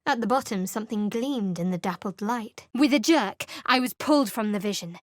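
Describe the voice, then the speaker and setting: A woman as a calm, measured fantasy narrator. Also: medium pitch, dry recording, studio quality, natural voice. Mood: steady. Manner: measured, evocative storytelling. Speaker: game narrator. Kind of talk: scripted second-person game narration. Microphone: close studio mic.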